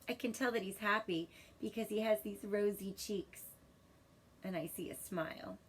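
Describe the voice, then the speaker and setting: A woman teacher reading a children's story expressively and pausing to ask questions in a deliberate, instructive manner. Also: medium pitch, a little hiss or hum.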